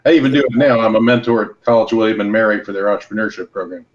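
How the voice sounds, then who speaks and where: A man's voice: lowish voice, racing. Podcast guest, male, laid-back storyteller, slightly muffled lo-fi sound. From Los Angeles and Hawaii. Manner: relaxed, storytelling, advice-giving. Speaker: guest entrepreneur. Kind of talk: casual podcast conversation.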